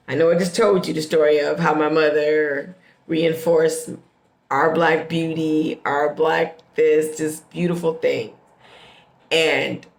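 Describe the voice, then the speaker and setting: A middle-aged American woman from the Midwest telling personal stories casually, with expressive emphasis and repeated phrases. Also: deep voice. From Midwestern United States. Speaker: host. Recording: roomy, echoing sound.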